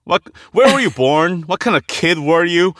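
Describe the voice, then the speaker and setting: A man hosts a podcast interview in a conversational style. His pitch sits a little high and moves around in a lively way, in a dry recording. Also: moderate pace, no room sound. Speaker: podcast host.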